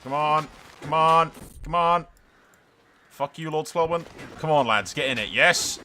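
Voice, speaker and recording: A man hosting a gaming video, giving casual, excitable and sweary commentary with some roleplay storytelling. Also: on the high side, a little slow, a little hiss or hum.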